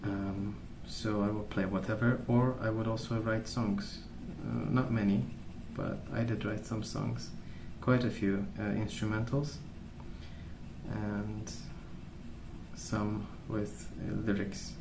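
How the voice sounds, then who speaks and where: A soft-spoken man rambling in an ASMR style, with a hesitant, meandering and unhurried way of recollecting. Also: lowish voice, flat delivery, gravelly. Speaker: ASMR video host. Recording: roomy, distant mic, noisy background. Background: pencil colouring sounds, background noise.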